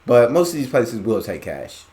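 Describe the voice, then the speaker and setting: A man's voice: medium pitch, big pitch swings, normal speed, dark tone. An American man reacting on a video, thinking aloud casually with many fillers and hedges. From United States.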